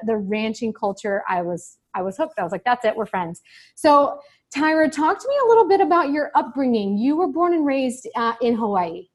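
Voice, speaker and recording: Gushing woman podcast host from Kansas. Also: on the high side. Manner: chatty, enthusiastic, gushing. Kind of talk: casual interview hosting.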